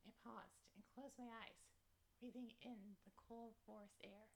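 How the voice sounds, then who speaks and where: A woman's voice: fairly high pitch, fairly even intonation, a little slow, a little hiss or hum. A woman doing let's play commentary, reading a visual novel's narration aloud with informal, chatty asides and the occasional stumble over words. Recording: music behind the voice. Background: background music.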